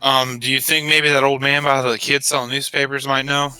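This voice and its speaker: A man's voice: mid-range voice, flat delivery, fairly quick, pushed and bright, smooth, no echo, faint music behind. A man playing a tabletop roleplaying game, chatting and improvising informally with jokes. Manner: informal, joking. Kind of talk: casual improvised roleplay chat.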